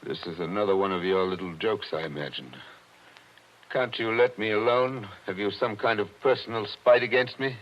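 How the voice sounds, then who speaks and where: Actor as a deep-voiced, weary attorney. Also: male voice, moderate pace, lightly acted. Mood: subdued bitter. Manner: quiet, subdued, bitter. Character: shaken middle-aged attorney, bitter and weary. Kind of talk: 1940s radio acting. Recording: dull old radio broadcast with faint hiss.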